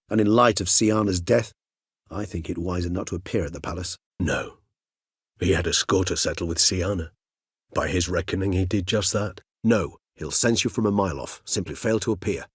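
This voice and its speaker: Learned older vampire, very husky, low, courteous, formal old-fashioned phrasing, game dialogue. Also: male voice, a little fast, dry recording, studio quality. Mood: grave, firm. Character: erudite, courteous older male vampire, gentle surgeon and loyal friend.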